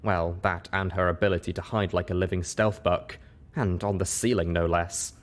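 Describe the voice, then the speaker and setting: Low-voiced man narrating a story. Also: steady tone, moderate pace. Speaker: reader, narrator.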